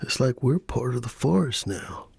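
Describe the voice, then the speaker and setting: A man voicing an easygoing, appreciative male hiker in a bedtime story, soft and earnest. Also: mid-range voice, expressive, normal speed, gravelly, husky, no echo, pitched above the performer's own voice, heavily acted. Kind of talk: ASMR bedtime story character dialogue.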